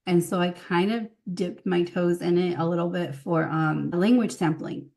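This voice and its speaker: A woman's voice: on the low side, fairly even intonation, normal speed, gravelly, a little mellow. A woman with a Californian accent, telling anecdotes in a casual, conversational interview as a guest speech-language pathologist.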